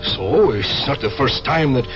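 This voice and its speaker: A man's voice: mid-range voice, lively intonation, a little slow, dull audio, music in the background, lightly acted. An actor playing a desperate male Soviet section head in an old radio drama, formal and defiant. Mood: tense. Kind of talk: 1970s radio drama acting.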